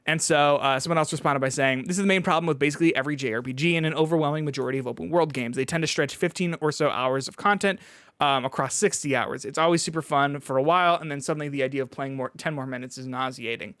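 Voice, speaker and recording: A man co-hosting a podcast, chatting casually about video games in a halting way, often restarting his thoughts. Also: on the high side, a bit bright, no room sound, clean studio sound. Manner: halting, filler-heavy, starts and restarts thoughts. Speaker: podcast co-host and games reviewer. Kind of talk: casual video game chat.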